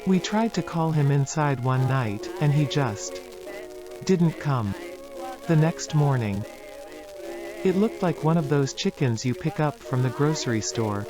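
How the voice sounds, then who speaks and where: Man playing a troubled, solemn test subject, slightly high voice. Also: lively intonation, measured pace, over music, poor audio. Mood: grieving, bitter. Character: troubled research subject recounting painful childhood memories. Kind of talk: analog horror found-footage acting, narrative monologue.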